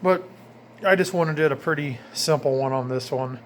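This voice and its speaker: A man's voice: a little high, moderate pace. Casual man narrating over noticeable background noise. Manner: plainspoken, informal, pointing things out as he goes. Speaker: DIY hobbyist showing his own install.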